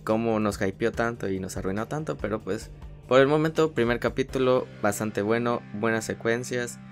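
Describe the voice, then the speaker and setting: A man's voice: medium pitch, moderate pace, gravelly. A man giving a casual anime review as a voice-over, chatty and full of fillers. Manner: chatty, frequent filler "pues", restarts. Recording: voice-over with faint music behind.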